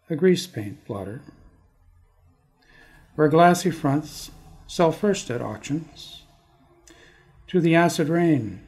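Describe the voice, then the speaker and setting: A Canadian man speaking as a guest poet on an arts podcast in a deliberate, halting manner. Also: a little high, darkish tone. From Toronto area, Canada. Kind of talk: casual self-introduction and slow poetry reading. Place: studio.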